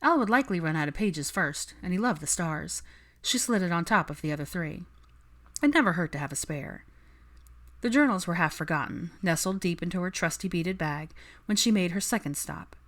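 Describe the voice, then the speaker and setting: A woman's voice: lowish voice, expressive, brisk, a bit bright. A woman reading fiction aloud as an audiobook narrator, in scripted storytelling style.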